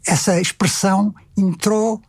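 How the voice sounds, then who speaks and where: Husky older Portuguese man, reflective. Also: sits high, fairly even intonation, a little slow, darkish tone, no echo, studio quality. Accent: European Portuguese. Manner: thoughtful, many hesitations, tag questions. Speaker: retired publisher. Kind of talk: conversational interview answers.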